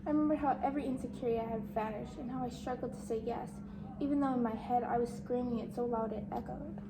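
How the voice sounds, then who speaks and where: A woman's voice: on the high side, steady tone, quick pace, noisy background, natural voice. A woman playing a shy, insecure, bookish teenage outcast in an amateur dramatic monologue. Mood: dreamy, nervous.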